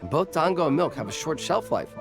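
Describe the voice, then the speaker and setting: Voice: mid-range voice, big pitch swings, quick pace. An actor voicing a humble, nervous young food-stall vendor in a video game, deferential, hesitant and earnest. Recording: music behind the voice.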